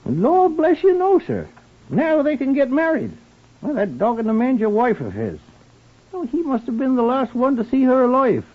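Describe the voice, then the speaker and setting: High husky rustic English handyman. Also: huge pitch swings, moderate pace, heavily acted. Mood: eager, obliging. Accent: rural English. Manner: rambling, chuckling, deferential. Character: garrulous rustic handyman, chatty and simple. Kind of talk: old radio drama acting. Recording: tinny, band-limited old radio recording.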